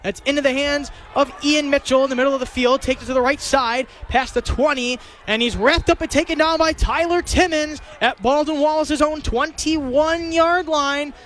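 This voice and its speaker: A young man with a very high-pitched voice calls a football game live on the radio. He describes each play in clipped, detailed phrases, in the style of a play-by-play announcer. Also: fairly even intonation, normal speed, few pauses, a bit bright. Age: young adult. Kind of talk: live play-by-play sports commentary.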